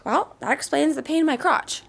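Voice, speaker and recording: A woman's voice: high-pitched, normal speed, gravelly. A woman playing a frantic, bossy young woman in a sitcom, urgent and exasperated.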